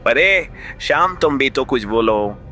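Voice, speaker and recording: A man playing an earnest, expressive village farmer in a cartoon story. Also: medium pitch, unhurried. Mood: frightened. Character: two earnest village farmer friends, adult men. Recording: music behind the voice.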